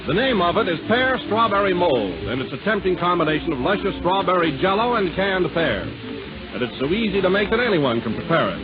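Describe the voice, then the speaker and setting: A man announcing in polished, enthusiastic 1930s radio commercial style. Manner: polished, enthusiastic sales pitch. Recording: muffled 1930s radio recording.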